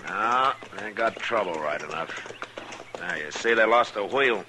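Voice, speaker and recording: An actor playing a steady, laconic frontier lawman with an American accent, measured and dry, in an old-time radio western. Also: lowish voice. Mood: steady, decisive. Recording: old radio recording with faint noise.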